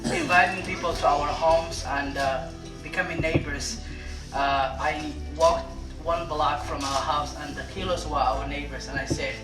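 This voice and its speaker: A man organizing a community lunch, introducing speakers in an easygoing way with many filler pauses. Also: on the high side, steady tone, recorded from a distance. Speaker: event organizer. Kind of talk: hosting remarks to an audience. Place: large room at a community lunch. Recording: echoey room, voice from afar, loud music.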